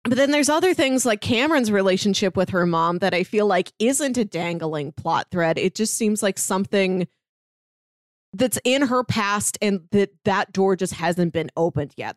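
A woman's voice: fairly low pitch, no echo, studio quality. Chatty woman recapping a TV season. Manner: chatty, analytical, laughs often. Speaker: podcast co-host. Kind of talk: casual TV recap chat.

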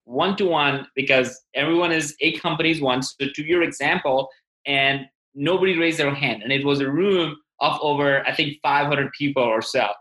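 A man's voice: a little high, moderate pace, pushed and bright. A man hosting a conversational interview, emphatic and given to acting out quoted remarks. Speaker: podcast host. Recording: echoey, reverberant room sound.

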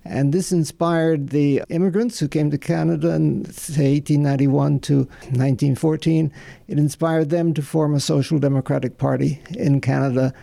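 A museum board member talks slowly and haltingly, with a gravelly, fry-laden voice. His tone is very dark and mellow, and he pauses often to search for words. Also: a little high, fairly even intonation. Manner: halting, with many fillers. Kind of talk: casual explanation on a tour.

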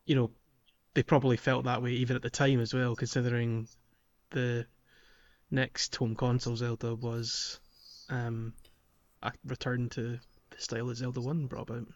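A man's voice: mid-range voice, flat delivery, moderate pace. Dull-sounding man in casual podcast chat. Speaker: podcast co-host.

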